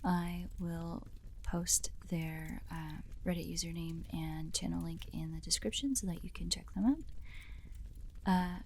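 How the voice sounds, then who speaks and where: A woman's voice: on the low side, takes it slowly, gravelly, darkish tone, slight background noise. A woman who creates audio roleplays, chatting casually to thank her listeners.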